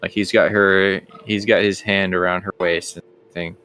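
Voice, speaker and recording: A man's voice: sits low, level tone, moderate pace, bright, forceful tone, clean tone. A man working as game master, improvising narration in a hesitant, conversational way, thinking aloud.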